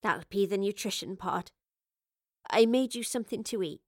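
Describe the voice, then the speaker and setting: A woman's voice: mid-range voice, normal speed, no echo, studio quality, lightly acted. A woman voicing a weary, kindly working-class old woman with homely, colloquial, wistful speech in an audio story. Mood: gentle, resigned.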